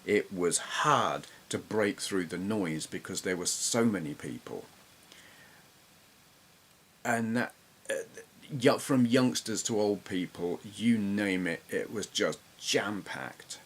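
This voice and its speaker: Low-voiced middle-aged English man, reminiscing casually. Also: slow pace, slightly rough. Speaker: retro gaming YouTuber. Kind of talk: casual gameplay commentary and reminiscence.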